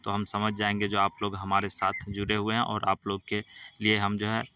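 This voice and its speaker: Low flat-voiced man explaining. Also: normal speed, background noise. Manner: repeats "ठीक है" and "जो है" as fillers, patient instructive tone. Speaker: education channel host. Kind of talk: screen-recorded explainer for students.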